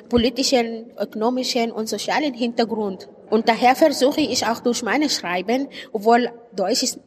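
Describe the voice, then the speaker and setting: A woman from Sudan, a native Arabic speaker with an Arabic accent, gives reflective interview answers in a searching, emphatic way. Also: fairly high pitch. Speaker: interviewed writer and poet. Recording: reverberant hall, clear background noise.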